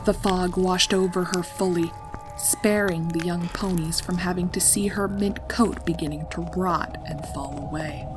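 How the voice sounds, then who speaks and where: A woman narrating a scripted horror story in a dramatic, deliberate storytelling manner. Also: lowish voice, fairly even intonation, loud music behind the voice. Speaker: fan fiction narrator.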